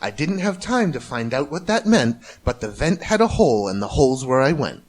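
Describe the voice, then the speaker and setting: A man's voice: mid-range voice, very animated, a little fast. A man narrating a rhyming storybook with theatrical, rhythmic delivery. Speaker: amateur storybook reader.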